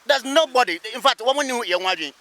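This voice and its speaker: A man's voice: very high-pitched, expressive, fast, bright, forceful tone, poor audio. A man with a Ghanaian accent answering a street interview emphatically, repeating his phrases. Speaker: interviewed local motorist or resident. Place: outdoors by a busy road.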